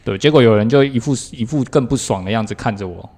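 A man's voice: medium pitch, fast, a little mellow. A middle-aged man with a Taiwanese accent in casual podcast conversation, plain-spoken and making his points step by step. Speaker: podcast co-host.

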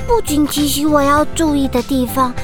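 Woman as a very high-pitched young boy dolphin. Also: steady tone, normal speed, music in the background, close to an everyday voice. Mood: grateful, happy. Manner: hesitant, polite, childlike. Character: timid, polite young boy dolphin, eager to improve. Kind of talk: children's audio drama acting.

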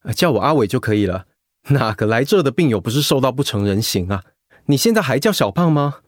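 An actor playing a blunt, sociable middle-aged ex-marine patient in a Mandarin radio drama. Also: male voice, medium pitch, very animated, fast talker, no room sound, clean studio sound, close to an everyday voice. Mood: friendly, sheepish.

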